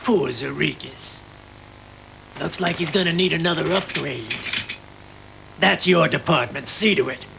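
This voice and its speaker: A man acting a scheming, cold, domineering crime boss with a gravelly voice in an English anime dub. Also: on the high side, unhurried, pauses often. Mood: cold disdain. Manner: smug, commanding. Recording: noisy worn VHS tape with hum.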